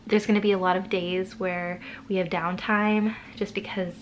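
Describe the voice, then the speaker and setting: A woman's voice: medium pitch, little pitch movement, moderate pace, muffled recording, a little hiss or hum. Stuffy-nosed young woman vlogging. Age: young adult. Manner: chatty, rambling, self-deprecating. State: stuffy from a cold. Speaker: writing vlogger. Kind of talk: casual chat to camera.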